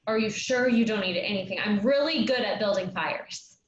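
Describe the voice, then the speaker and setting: A woman's voice: mid-range voice, normal speed, natural voice. A woman playing an eager young girl who is keen to help and belong, in a staged play reading. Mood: eager, persistent. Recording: roomy, noisy, muffled sound.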